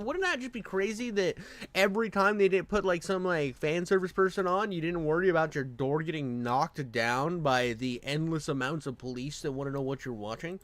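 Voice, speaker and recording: A man giving casual reaction commentary as host, brash and slangy, with a jokingly exasperated manner. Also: on the high side.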